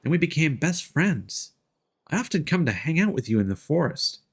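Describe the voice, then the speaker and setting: A man voicing a gentle, dreamy young forest girl, reading game dialogue earnestly in character. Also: a little high, very animated, moderate pace, slightly rough, pitched above the performer's own voice, heavily acted. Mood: wistful, earnest.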